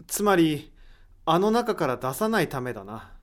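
A man's voice: fairly high pitch, very animated, normal speed. A man playing an inquisitive, analytical character in an audio drama, musing his way through a mystery. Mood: thoughtful, intrigued.

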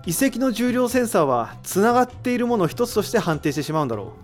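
A man's voice: sits high, sing-song, fast talker, faint music behind. A man acting in an audio drama as an analytical figure who reasons out a mystery in explanatory speech. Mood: explanatory, assured. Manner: explanatory, rhetorical questioning. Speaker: voice actor in an amateur audio drama. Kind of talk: dramatic dialogue, explaining deductions.

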